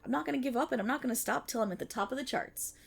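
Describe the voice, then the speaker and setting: A woman playing an excitable, earnest young banshee idol-in-training, chatty and teasing, in an ASMR-style roleplay aimed at the listener. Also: sits high, very fast, vocal fry. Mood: alarmed, then determined.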